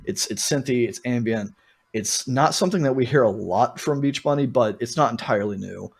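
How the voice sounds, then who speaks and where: A man's voice: medium pitch, brisk, vocal fry, husky. A man hosting a podcast in a casual, rambling and informal way, talking through music with frequent asides. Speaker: podcast host. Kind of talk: casual album discussion.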